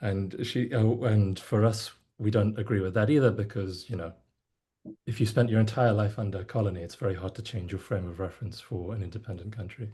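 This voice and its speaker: A man's voice: fairly low pitch, fairly even intonation, brisk, warm tone, slightly rough. A man from Mozambique in a casual group discussion, telling wry stories with dry humor over a video call.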